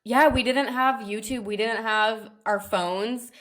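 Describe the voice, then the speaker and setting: A woman co-hosting a podcast in casual chat, enthusiastic and agreeable, quick to laugh. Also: a little high, fairly even intonation, a bit bright. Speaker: podcast co-host.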